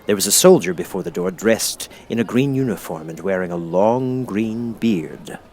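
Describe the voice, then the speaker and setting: A man with a fairly low voice narrates a children's storybook. He reads from the script in measured, clearly phrased sentences, as an audiobook narrator. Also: animated, darkish tone, slight background noise.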